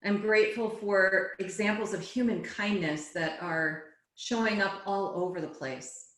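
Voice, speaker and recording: Slow-paced woman guiding relaxation. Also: medium pitch, steady tone, fairly bright tone. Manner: soothing, unhurried, phrase-by-phrase guiding. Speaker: yoga teacher. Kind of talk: guided relaxation and meditation instruction. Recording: echoey, roomy sound.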